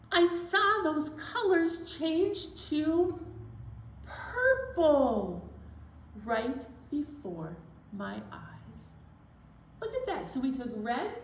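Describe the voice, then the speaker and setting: Female children's story time host, playful and unhurried, clear step-by-step delivery, roomy echo with faint noise. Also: sits high. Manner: playful, simple step-by-step explaining. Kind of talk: children's story time presentation, poem recital.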